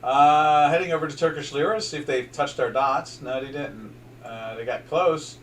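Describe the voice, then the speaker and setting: A man's voice: fairly high pitch, moderate pace, distant mic, slight background noise. An older man from southern New Jersey with a South Jersey accent, chatting loosely about currency charts as host, full of fillers and restarts. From southern New Jersey, USA. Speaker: trading show host. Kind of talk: casual market commentary over charts.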